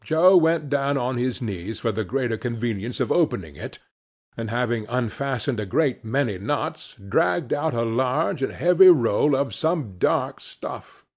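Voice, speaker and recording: A man narrating a classic novel as an audiobook, with slow, expressive storytelling. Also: medium pitch.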